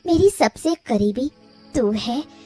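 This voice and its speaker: A woman voicing a gentle, affectionate village wife in a cartoon family drama, in a tender manner. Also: a little high, animated, slow pace, faint music behind. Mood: wistful, tender.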